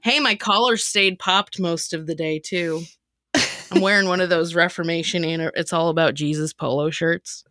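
A woman's voice: on the low side, steady tone, normal speed, fairly bright tone, no echo, clean studio sound. A woman hosting a casual podcast chat in a playful, theatrical manner. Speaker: podcast host.

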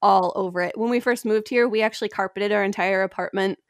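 A woman's voice: mid-range voice, steady tone, fast talker, no echo, clean studio sound. Giggly, enthusiastic woman guest. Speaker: podcast guest. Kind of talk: casual chat.

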